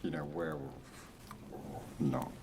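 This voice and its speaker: A performer voicing a stern, sardonic potions professor in a whispered ASMR roleplay, slow, deliberate and condescending, with clipped commands. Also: very deep voice, fairly even intonation, vocal fry, distant mic, very noisy, heavily acted. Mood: cold, reproachful. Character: stern, sardonic potions professor interrogating students at wizard school.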